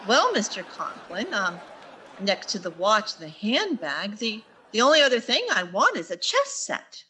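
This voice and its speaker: A woman playing a wry, flustered English teacher in an old-time radio sitcom, theatrical and quick with wisecracks. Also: mid-range voice, huge pitch swings, pushed and bright, breathy edge, full character voice, low-quality recording. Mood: coaxing. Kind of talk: 1940s radio sitcom acting on stage.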